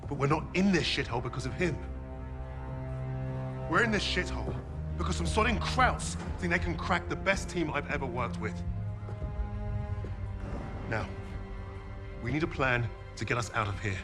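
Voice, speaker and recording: A man's voice: a little high, normal speed, long pauses, over loud music, lightly acted. An actor with a British accent playing a tough paratrooper sergeant who leads his squad. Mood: determined. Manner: blunt, swearing, steady.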